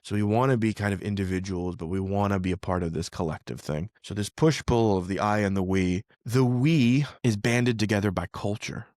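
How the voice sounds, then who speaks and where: Low-voiced man explaining things casually. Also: a little fast, few pauses, darkish tone, no room sound, clean studio sound. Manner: conversational, frequent "like" and "right?" tag questions. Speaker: podcast host. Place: living in Philadelphia.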